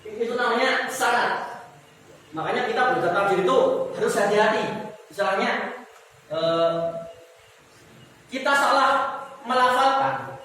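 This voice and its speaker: A man's voice: very high voice, a little slow, long pauses, pushed and bright. A male teacher giving a tajwid lesson in a mosque hall. He explains step by step, repeats key words and asks the class questions. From Indonesia. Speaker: Quran recitation teacher. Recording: roomy echo with long reverb, from far off.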